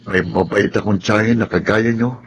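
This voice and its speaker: A man's voice: fairly low pitch, fairly even intonation, normal speed, slight background noise, lightly acted. A man playing a stoic, good-natured young Filipino accepting hardship, speaking earnestly and reflectively in a radio drama. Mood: resigned, light. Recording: music under the voice.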